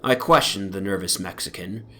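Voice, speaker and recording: A man giving a formal, measured, theatrical dramatic reading of a gothic horror story as its narrator. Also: deep voice, bright, forceful tone. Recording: background noise from an ambient soundscape. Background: atmospheric soundscape.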